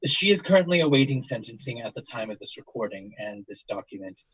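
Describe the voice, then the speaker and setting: An actor playing a grave investigator, speaking formally and deliberately as he questions and narrates. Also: mid-range voice, very animated, husky, no room sound, low-quality recording. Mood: solemn. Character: grave, measured male investigator recording testimony of tragedy. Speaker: interviewer and narrator. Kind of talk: solemn dramatic monologue and questioning.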